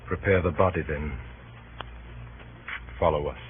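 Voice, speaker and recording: A man's voice: extremely low pitch, fairly even intonation, unhurried, a little hiss or hum. An actor playing a grave, honourable Roman statesman with firm, formal oratory in 1930s radio Shakespeare. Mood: firm, commanding. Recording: tinny narrow-band 1930s radio recording.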